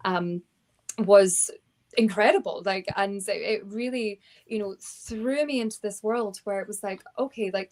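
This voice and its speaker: A woman talks about her own life as an author guest in a conversational interview. She sounds earnest and searching, circling back and restarting phrases as she thinks. Her pitch sits in the ordinary middle range. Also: a little mellow.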